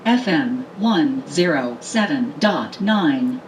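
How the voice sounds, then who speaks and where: A woman's voice: deep voice, animated, slow, low-quality recording. A woman's synthetic voice giving short, clipped, impersonal device announcements. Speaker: device voice prompt. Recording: played through speaker, loud music behind.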